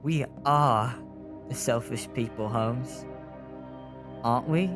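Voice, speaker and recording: A man's voice: medium pitch, slow, lightly acted. A man playing an earnest, guilt-ridden young street boy who leads reluctantly in an audio drama. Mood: guilty. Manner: earnest, troubled. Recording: music under the voice.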